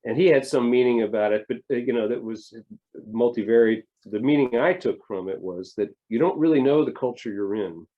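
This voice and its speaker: A man's voice: medium pitch, a little fast, lo-fi sound. A middle-aged man talking reflectively in an interview, with hesitant restarts and rambling thoughts. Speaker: family therapist, guest.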